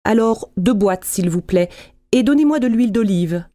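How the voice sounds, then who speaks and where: A woman acting out everyday scripted dialogues for language learners, speaking clearly and deliberately. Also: mid-range voice, lively intonation, warm tone, no room sound.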